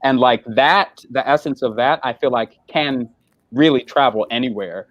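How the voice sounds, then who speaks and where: A man's voice: medium pitch, moderate pace, a bit bright, dry recording. Earnest man, dry slightly dull sound. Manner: earnest, thoughtful, builds ideas gradually. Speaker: actor on a festival panel. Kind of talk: reflective panel conversation. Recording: dull, slightly lo-fi online audio.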